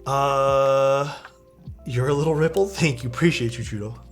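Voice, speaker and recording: A man's voice: mid-range voice, moderate pace, faint music behind. A young man hosting a livestream, chatting casually and rambling to his viewers from a small rented room. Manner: rambling, easygoing, talks to chat. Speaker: livestream host building keyboards. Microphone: streaming mic.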